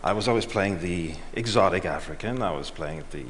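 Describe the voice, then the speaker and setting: A middle-aged man giving a prepared talk on stage, telling a measured story and letting his lines trail off. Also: deep voice, a bit bright. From Switzerland and Ghana. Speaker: speaker. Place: large auditorium. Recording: reverberant hall.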